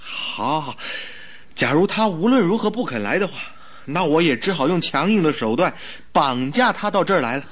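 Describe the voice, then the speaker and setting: A loyal, worried companion in a radio drama, with a high-pitched voice that swings expressively up and down. He is earnest and fretful, given to exclamations. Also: lively intonation, moderate pace, a bit bright. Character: anxious, loyal male friend of a sick detective.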